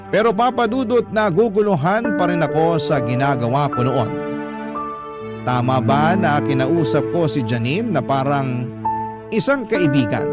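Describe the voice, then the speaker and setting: Higher-pitched Filipino male storyteller over loud music. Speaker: radio drama narrator. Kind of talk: first-person dramatic storytelling, reading a letter. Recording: prominent background music bed. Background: loud instrumental music.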